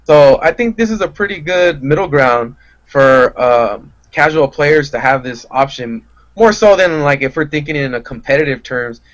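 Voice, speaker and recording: A man's voice: fairly high pitch, normal speed, rough recording. A man chatting casually as a podcast guest, rambling in a hesitant, self-deprecating way. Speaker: podcast guest, fighting game player. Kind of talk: casual chat.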